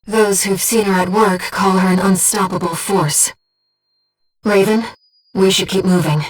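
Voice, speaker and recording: A woman voicing a gentle, earnest, disembodied female companion in a video game, guiding a pilot with composed, precise callouts and briefings. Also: medium pitch, steady tone, unhurried, recorded from a distance, natural voice, rough recording. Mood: composed, informative. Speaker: mission support companion.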